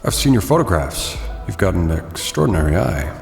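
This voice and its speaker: Man voicing a smooth-talking rich host, low gravelly voice, long reverb. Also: very animated, moderate pace, soft background music, exaggerated voice. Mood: polite. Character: Bruce Wayne, charming male billionaire host.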